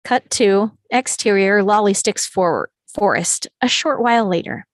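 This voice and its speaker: A woman's voice: mid-range voice, unhurried, dry recording. Script narrator: woman, mid-range voice, reading stage directions, very dry recording.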